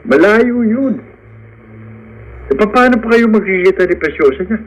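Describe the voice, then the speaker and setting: Cautious workingman friend, lightly high. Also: animated, normal speed, dull audio. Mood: worried, reasoning. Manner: concerned, reasoning. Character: loyal, cautious working-man friend, a young father. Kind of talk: radio drama acting.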